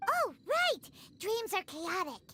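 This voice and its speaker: Game sidekick: tiny excitable fairy, piercingly high and childish, very animated, blunt. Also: female voice, takes it slowly, exaggerated voice. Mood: eager. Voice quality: squeaky, childlike. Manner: speaks of herself in third person, exclamatory. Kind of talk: video game character dialogue.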